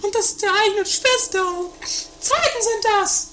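A woman's voice: very high-pitched, normal speed, sharp tone, a little hiss or hum. A woman playing a quarrelsome, theatrical sister in a comedy radio play. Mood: indignant. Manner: theatrical, bickering. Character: quarrelsome, dramatic woman, one of two castle-dwelling sisters.

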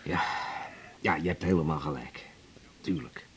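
An actor playing an older father in a radio drama, hesitant and apologetic, halting over his words. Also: extremely low pitch, breathy edge, full character voice. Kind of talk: 1980s radio drama acting.

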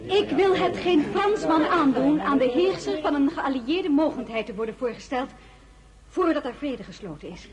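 An actress playing a weary but composed crown princess in a radio drama, courteous and sometimes hesitant. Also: female voice, sits high, animated, moderate pace, over a noisy crowd. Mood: commanding.

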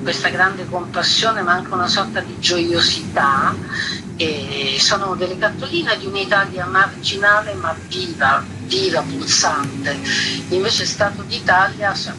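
A woman speaking as an interview guest, a curator commenting reflectively, halting and stressing her adjectives with repetition. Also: on the low side, loud music behind the voice. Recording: very noisy line with background ambience.

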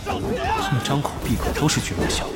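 A man's voice: on the high side, huge pitch swings, moderate pace. A man voice actor playing a wary, grumbling young lone gamer in an audio drama, with muttered asides and a Mainland Mandarin accent. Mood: wary, annoyed. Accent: Mainland Chinese Mandarin. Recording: music behind the voice.

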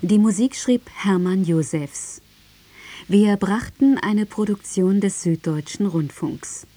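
A woman radio announcer reading a formal script in a measured, carefully enunciated way. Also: medium pitch, warm tone.

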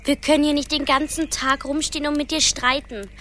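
High-voiced feisty little girl. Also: fairly quick, slight background noise. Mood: bossy. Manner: blunt, lively. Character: feisty, blunt little girl, younger sister. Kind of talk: radio play acting. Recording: music behind the voice.

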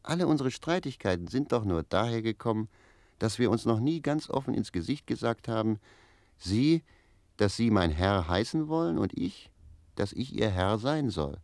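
A man's voice: fairly low pitch, big pitch swings, normal speed, a little mellow, no echo, clean studio sound. A man playing a cheeky, self-assured manservant in a radio play, arguing and philosophizing in literary dialogue. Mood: assured, lecturing. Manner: argumentative, philosophizing.

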